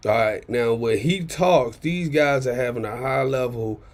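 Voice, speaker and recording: A man with a raspy baritone ranting in casual commentary, blunt and profane, driving points home with repeated "okay?". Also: a little slow. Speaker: commentary channel host.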